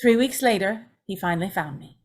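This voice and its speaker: A woman's voice: medium pitch, animated, a little fast, slightly rough. A woman playing a tormented, pleading young woman, tearful and melodramatic in an old-time radio drama. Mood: haunted, confessional. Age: young adult. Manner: tearful, breathlessly emotional, melodramatic.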